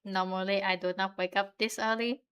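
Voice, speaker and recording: A young woman from Vietnam with a Vietnamese accent, chatting to viewers in a relaxed, giggly way while she draws on stream. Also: mid-range voice, moderate pace, clear, resonant tone. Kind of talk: casual stream chat while drawing.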